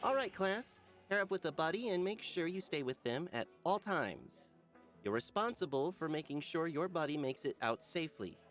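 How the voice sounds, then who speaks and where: Schoolteacher, patient, dutiful, varied intonation, visual novel, background music. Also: male voice, on the high side, sing-song, moderate pace, fairly bright tone. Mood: instructing. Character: patient, dutiful male schoolteacher on field trip.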